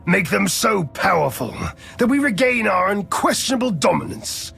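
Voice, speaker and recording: An actor playing an arrogant, power-hungry Sith lord in a video game, speaking in a formal, imperious and deliberate manner. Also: male voice, high voice, sing-song, creaky, sharp tone, breathy edge, soft background music, heavily acted. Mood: gloating, commanding. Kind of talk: dramatic video game voice acting.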